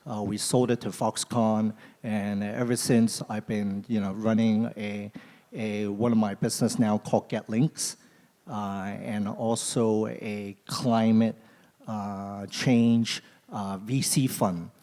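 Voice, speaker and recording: Hong kong entrepreneur guy on a panel, mellow low voice, relaxed. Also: slow pace. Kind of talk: panel self-introduction. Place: conference hall.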